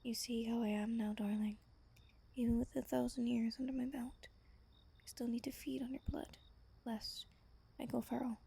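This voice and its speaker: A woman playing an ancient, wistful vampire lover in a soft, breathy ASMR roleplay, telling her tale slowly and intimately. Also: a little high, level tone, full character voice. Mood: reflective. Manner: gentle, slow, intimate storytelling.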